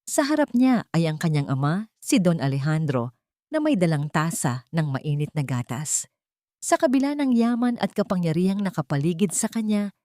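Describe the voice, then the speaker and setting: A woman's voice: on the low side, dark tone, no room sound, studio quality. A woman with a Filipino accent reading a scripted, dramatic audio story as the storyteller.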